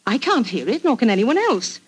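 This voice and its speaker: A woman's voice: on the high side, very animated, fairly quick, heavily acted. An actress playing a clever, self-assured, forthright Englishwoman with a British accent in a 1940s radio drama. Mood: grave, urgent. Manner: crisp, forthright.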